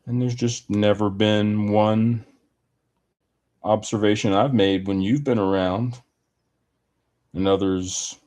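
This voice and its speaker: A man giving a rambling personal opinion monologue in a deliberate, halting manner with wordy, formal phrasing. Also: fairly low pitch, steady tone, a little mellow.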